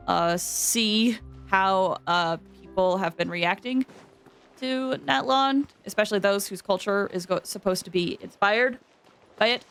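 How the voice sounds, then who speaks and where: A woman's voice: mid-range voice, fairly bright tone. A woman hosting a game livestream, chatting casually and informally with viewers, often drifting into asides.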